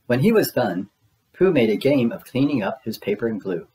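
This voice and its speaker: A man's voice: mid-range voice, big pitch swings, normal speed, dark tone. A man reading a children's storybook aloud as its narrator.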